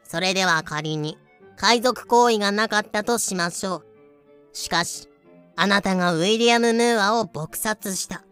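Woman acting a clear-voiced, composed court judge. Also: medium pitch, moderate pace, fairly bright tone, soft background music, natural voice. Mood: stern. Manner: formal, polite, matter-of-fact. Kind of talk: Japanese audio drama acting.

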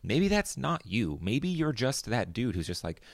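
A man's voice: mid-range voice, very animated, a little slow, vocal fry, no echo, studio quality. A young man hosting his own podcast, talking alone in a casual, rambling way with lots of fillers. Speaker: podcast host. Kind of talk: solo podcast monologue.

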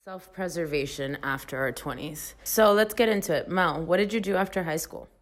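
A woman in her thirties from Miami, co-hosting a casual podcast chat with old friends in a relaxed, reminiscing way. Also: fairly low pitch, moderate pace. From Miami, United States. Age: 30s. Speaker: podcast co-host.

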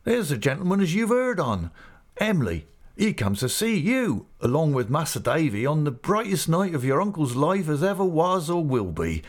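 A man voicing a rough, big-hearted seafaring uncle in an audiobook, effusive and excited, with a rustic East Anglian accent. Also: on the high side, normal speed, pitched above the performer's own voice. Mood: jubilant, tender. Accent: East Anglian English rustic dialect. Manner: rapturous, effusive, breathlessly excited. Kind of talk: character voice in audiobook reading.

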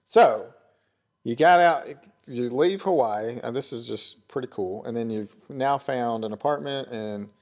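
Male podcast host, middle pitch, easygoing and jokey interviewer. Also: unhurried, darkish tone. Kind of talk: casual interview chat.

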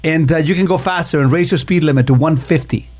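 Man, middle-aged, from Chile, talk host, joking and teasing, slightly high voice. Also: fast talker, a little mellow, no echo. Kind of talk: casual radio banter and interviewing.

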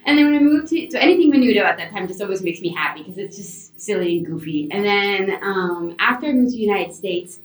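A woman from Venezuela, a podcast guest, answers in an animated, chatty way with many fillers. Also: mid-range voice, fast, hardly any pauses. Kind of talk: casual interview answers. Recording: roomy, distant remote mic.

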